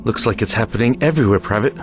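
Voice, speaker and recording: A man's voice: fairly low pitch, very fast, lightly acted. A man playing a weary, guarded American army lieutenant in an audio drama, speaking in a clipped, plain way with military authority. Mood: steady, reassuring. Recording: music under the voice.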